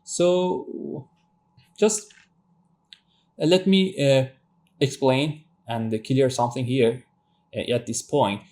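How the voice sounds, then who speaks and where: A man in his thirties from Iran with a Persian accent, Persian his native tongue, teaching a robotics tutorial with frequent pauses and restarts. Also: mid-range voice, a little mellow. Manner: hesitant, many fillers and restarts. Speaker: robotics instructor. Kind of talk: screen-share tutorial lecture.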